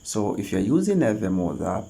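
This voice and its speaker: A tutorial host talking through phone app steps one at a time, in a halting, instructional way. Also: low voice, big pitch swings, creaky, mellow, slightly rough. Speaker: host of a tech tutorial channel. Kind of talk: spoken step-by-step screen tutorial.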